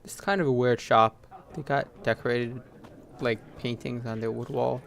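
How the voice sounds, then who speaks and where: A man's voice: mid-range voice, steady tone, normal speed, gravelly, a bit bright. A man hosting a gaming video with casual let's play commentary.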